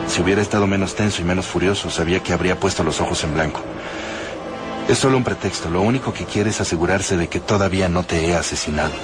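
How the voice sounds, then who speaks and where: Male audiobook narrator, very low voice, dramatic measured delivery over music.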